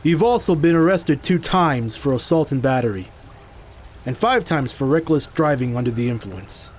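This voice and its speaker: A man playing a proud, unyielding, reclusive martial artist in an audio drama, speaking in a stern, blunt, deliberate way. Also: fairly high pitch, lively intonation, slight background noise, lightly acted. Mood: scornful.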